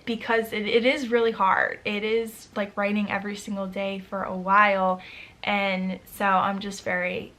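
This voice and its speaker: A young woman vlogging casually to camera, chatty and upbeat, her voice a little hoarse from overuse. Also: medium pitch, fairly bright tone. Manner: chatty, upbeat, with frequent fillers. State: slightly hoarse, losing her voice. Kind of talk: casual vlog talk to camera.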